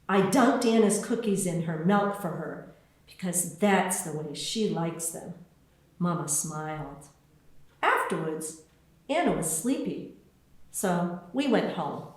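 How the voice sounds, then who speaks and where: The voice is a woman's, reading a picture book aloud. She goes slowly and deliberately, with a wide, lilting range that shapes each phrase for young listeners. The room around her rings with heavy echo. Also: on the low side. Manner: slow, deliberate, expressive phrasing for children. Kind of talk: scripted storybook narration. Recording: very echoey, roomy sound.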